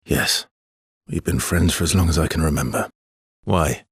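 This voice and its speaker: An actor playing a world-weary, guarded swordsman in a video game, speaking in an understated, conversational way with a husky, gravelly voice and a dry, wry affection. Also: a bass voice, normal speed, fairly bright tone, dry recording, exaggerated voice. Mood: subdued, reflective. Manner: understated, hesitant, dryly teasing. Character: world-weary adult male expedition swordsman, guarded but wryly affectionate.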